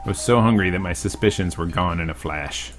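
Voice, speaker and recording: A man reading a visual novel aloud as a let's play host, casual and profane, now and then bursting into shouts. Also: sits low, fairly quick, faint music behind.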